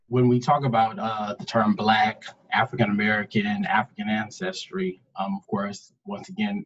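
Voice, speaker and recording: Husky-voiced guy on a webinar panel, earnest, explains slowly, audio a bit dull. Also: medium pitch. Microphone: video call.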